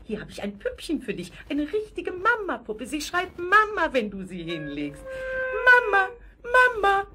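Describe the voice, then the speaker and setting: A woman's voice: very high voice, wildly swooping pitch, a little slow, noisy background, exaggerated voice. A woman playing a fussy old aunt who gushes and talks nonstop in a children's radio play. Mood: gushing.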